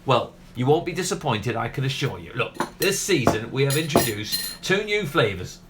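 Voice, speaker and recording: Animated chatty Yorkshire ice cream man, acted by a man. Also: mid-range voice, moderate pace, slight background noise. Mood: eager, boastful. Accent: South Yorkshire. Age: middle-aged. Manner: garrulous, rambling, cheerfully talks over others. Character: chatty, proud middle-aged seaside ice cream parlour owner. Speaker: actor. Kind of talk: family comedy radio play acting.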